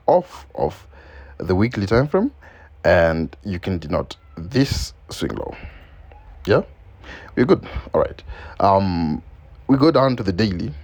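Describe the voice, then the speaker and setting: A man's voice: sits low, a little mellow. A man from Zimbabwe, English not his first language, talking loosely through a screen-share trading lesson with many ums and repeated phrases. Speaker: forex trading educator. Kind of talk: screen-share market analysis.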